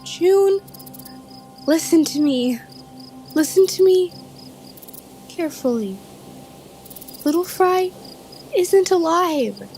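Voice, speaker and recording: Woman playing an extremely high-voiced earnest runaway. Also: expressive, takes it slowly, pauses often, mellow, full character voice. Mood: urgent pleading. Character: Lane, earnest protective runaway woman, the peacemaker. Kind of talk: audio drama acting. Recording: live-streamed audio play with music bed.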